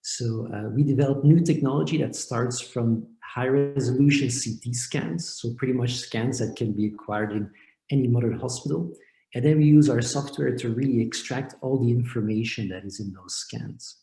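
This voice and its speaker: A man from Belgium, a company founder, explaining his work step by step in a measured way over a video call. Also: medium pitch, creaky, dark tone. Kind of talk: explanatory interview answers. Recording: echoey room.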